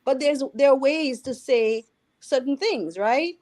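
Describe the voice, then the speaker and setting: A woman's voice: high-pitched, unhurried, a little mellow. A woman with a Dominican accent, a healthcare worker, speaking earnestly as a guest on a radio talk show and checking in with "right?". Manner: earnest, explains with repeated "right?".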